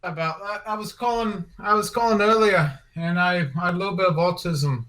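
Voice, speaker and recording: A man calling in to a radio show, halting and hesitant as he asks a question. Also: high-pitched, fairly bright tone, low-quality recording. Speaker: caller. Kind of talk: phone-in question.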